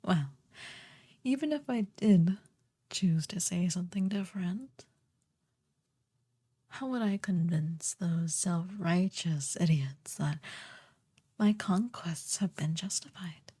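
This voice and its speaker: Girl doing a evil sorceress queen, asmr roleplay, lowish and mellow, super disdainful and sardonic, bossing a servant around. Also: breathy edge. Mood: musing. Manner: imperious, theatrically disdainful, drawn-out delivery. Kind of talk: ASMR fantasy roleplay acting.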